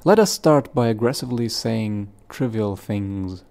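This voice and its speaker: Male tutorial narrator, gravelly edge, reading step-by-step instructions from a script. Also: fairly low pitch, lively intonation, a little slow, a little mellow.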